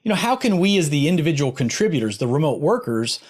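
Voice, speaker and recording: A man's voice: a little high, very animated, quick pace, breathy edge. A man hosting a podcast, interviewing a guest in a conversational way.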